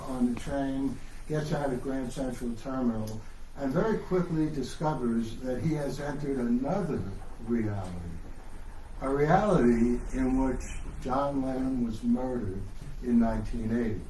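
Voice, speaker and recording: A man's voice: mid-range voice, a little slow, mellow. A man speaking as an author and host, giving an informal, enthusiastic and digressive introduction to a live audience. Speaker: author hosting a live book reading. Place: indoors in a bookstore. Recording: distant mic, noisy echoey room.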